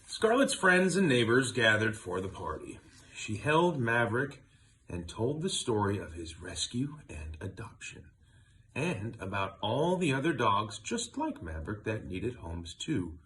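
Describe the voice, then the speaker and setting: Male storybook reader: lowish, very expressive voice, faint noise behind. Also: a little slow. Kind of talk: animated children's read-aloud.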